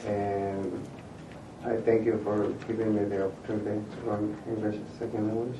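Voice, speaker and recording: Low, flat-voiced man. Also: moderate pace, distant mic, noisy background. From Mexico City. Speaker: award recipient. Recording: echoey chamber audio from afar.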